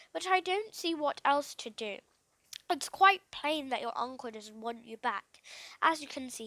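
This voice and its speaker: A woman's voice: high-pitched, a bit bright, no room sound. A woman voicing a weary, irritated male schoolmaster in a children's storybook, formal and grudging.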